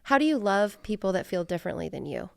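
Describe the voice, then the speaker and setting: A woman's voice: mid-range voice, big pitch swings, fast, creaky, a little mellow, no room sound, clean studio sound. A woman hosting a casual, reflective interview, earnestly thinking aloud and searching for her words. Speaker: podcast host, interviewer.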